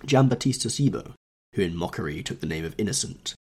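A man with a slight cold reading a classic novel aloud as a podcast narrator, in a measured, dramatic way. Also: low voice, animated, darkish tone, slightly rough. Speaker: audiobook narrator, podcast host. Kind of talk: scripted literary narration.

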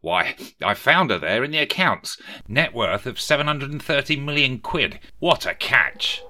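A man voicing a pompous, money-obsessed male politician with a shrill, whiny manner. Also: very animated, unhurried, pushed and bright, full character voice. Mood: excitable, whiny.

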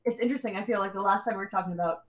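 A woman's voice: medium pitch, rapid-fire, a crowd in the background. A woman co-hosting a podcast in casual chat, self-mocking and emotive, laughing often. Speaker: podcast co-host.

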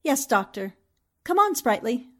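A woman's voice: a little high, very animated, unhurried, fairly bright tone, exaggerated voice. A woman acting a bold, inquisitive newspaper reporter in a 1940s-style radio drama, resolute and questioning. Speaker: actor in an audio drama.

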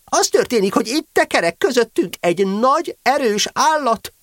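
A man voicing a fussy, self-important talking rabbit in a children's audio drama, halting and indignant. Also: very high-pitched, very animated, fairly bright tone, no room sound, studio quality, pitched above the performer's own voice, exaggerated voice.

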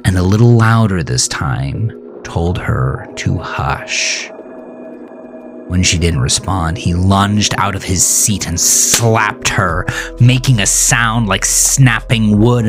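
A man reading a scripted horror story as narrator, in a deliberate, ominous manner. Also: deep voice.

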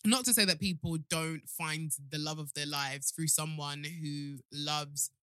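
A young woman co-hosts a casual podcast, chatty and emphatic, turning to address the listeners. Her voice is bright and a touch low for a woman. The recording is close and dry. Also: steady tone, unhurried, clear, resonant tone, no room sound. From Yoruba background. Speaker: podcast co-host. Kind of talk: casual chat.

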